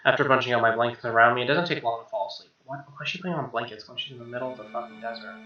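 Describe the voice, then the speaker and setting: A man's voice: medium pitch, very fast, roomy sound, highs cut off. A man reading visual novel narration aloud as a let's player, with offhand asides. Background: soft game music with guitar.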